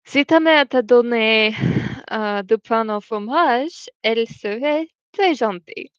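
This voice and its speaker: A woman's voice: a little high, measured pace, a bit bright, dry recording. A young woman hosting a casual livestream, chatting and reading out viewer comments, rambling between English and French. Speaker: livestream host. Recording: dull, dry home stream audio.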